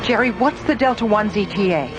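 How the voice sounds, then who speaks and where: A woman's voice: mid-range voice, a little fast, natural voice. An actress playing an anxious, urgent female officer on a space station in a radio drama. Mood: urgent, commanding. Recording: music behind dialogue.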